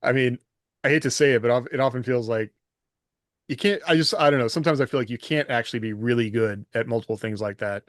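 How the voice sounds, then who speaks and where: A man's voice: mid-range voice, quick pace, no room sound, clean studio sound. A middle-aged American man chatting casually as a podcast co-host, candid and self-deprecating. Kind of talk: casual chat.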